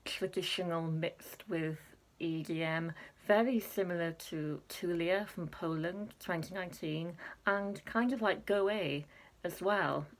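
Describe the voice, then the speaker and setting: A young woman chatting casually to camera, filling pauses with ums and laughing at herself. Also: fairly low pitch, rough recording. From Wales. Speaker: Eurovision reaction vlogger.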